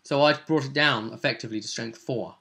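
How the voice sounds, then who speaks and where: Bright-voiced British man recapping a game. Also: mid-range voice, brisk, gravelly. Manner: animated storyteller, acting out players' lines. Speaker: game master and host of a tabletop role-play vidcast. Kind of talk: casual recounting of a tabletop game session.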